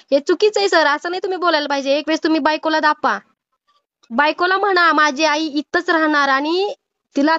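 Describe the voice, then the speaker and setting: Marathi lady on a muffled phone recording, high voice, telling someone off, keeps pushing questions. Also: a bit bright, no room sound. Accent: Marathi, Maharashtra. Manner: scolding, insistent, moralising, rhetorical questions. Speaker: old-age home worker taking a call. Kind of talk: phone counselling conversation, admonishing. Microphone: phone call.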